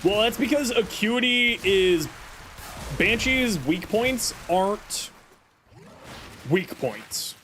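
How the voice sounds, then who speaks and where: A man's voice: high-pitched, expressive, takes it slowly, long pauses, fairly bright tone, slight background noise. A man streaming a game and chatting with viewers, self-deprecating and rambling, who is losing his voice. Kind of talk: casual live-stream chat answering viewers.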